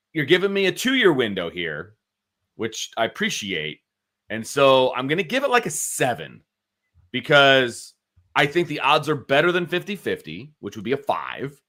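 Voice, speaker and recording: A man's voice: mid-range voice, bright, forceful tone. An American man co-hosting a sports talk show, giving casual analysis and informally talking through his reasoning.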